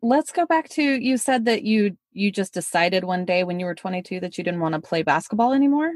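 A woman's voice: mid-range voice, animated, darkish tone, no room sound, studio quality. A woman hosting a podcast, friendly and encouraging, asking her guest questions in casual chat. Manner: friendly, encouraging, quick backchannels. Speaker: podcast host.

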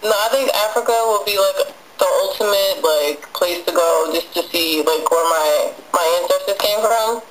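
A young woman speaking playfully and excitedly over a video call. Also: medium pitch, normal speed, slight background noise, low-quality recording. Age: young adult.

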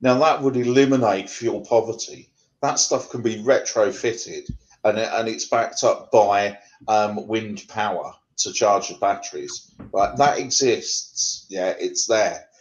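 Middle-aged English poet, rhythmic rhyming delivery, home video call. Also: male voice, on the low side, measured pace, muffled recording. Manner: rhythmic rhyming recitation, then conversational with fillers. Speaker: poet guest. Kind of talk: poetry reading then interview answers. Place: home room.

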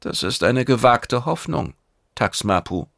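A man's voice: mid-range voice, animated, unhurried, vocal fry, fairly bright tone, dry recording, studio quality, pitched above the performer's own voice, full character voice. A man voicing a stern, impatient alien commander in a science fiction audiobook, formal, guarded and emphatic. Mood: cautioning.

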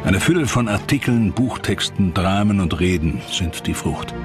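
A man narrating a documentary from a script in a measured, formal, dramatic way. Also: sits low, lively intonation. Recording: clear music bed behind voice.

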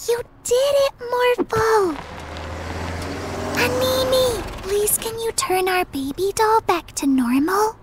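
A woman voicing an excited, cheerful young girl in a cartoon. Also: very high voice, slow pace. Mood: eager. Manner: bright, excited, eager. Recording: cartoon soundtrack with loud music.

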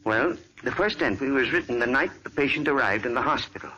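A man's voice: mid-range voice, expressive, fast talker, noisy background, lightly acted. A man playing an earnest male doctor explaining a case, in 1940s radio acting style, on an old muffled radio recording.

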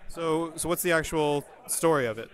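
A man's voice: on the high side, normal speed, low-quality recording. A man interviewing comic creators at a convention, asking short, friendly questions. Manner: brief, friendly prompting questions. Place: convention floor.

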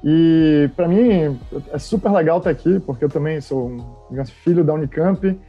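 A man in his thirties from Bahia with a Bahian accent, telling his story as a guest in a relaxed, friendly way. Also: fairly high pitch, moderate pace, muffled recording, faint music behind. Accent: Bahian Portuguese. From Bahia, Brazil. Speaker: startup co-founder guest. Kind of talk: casual interview talk.

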